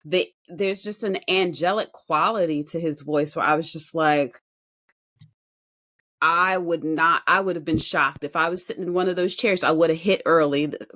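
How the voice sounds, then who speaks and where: Lowish-voiced woman, emphatic podcast co-host. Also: fairly even intonation, normal speed, a bit bright. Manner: emphatic, builds points through repeated phrases. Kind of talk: casual reaction commentary on a singing show.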